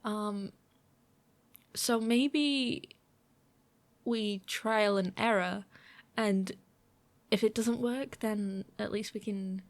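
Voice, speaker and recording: A playful young female roommate, acted by a woman in an intimate ASMR roleplay. She chats in a casual, teasing way at a medium pitch, often dropping to a hush. The sound is very dry and close to the mic. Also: drawn-out words, pauses often, no room sound. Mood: chatty, focused. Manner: chatty, giggly, teasing, often hushed. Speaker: audio roleplay performer.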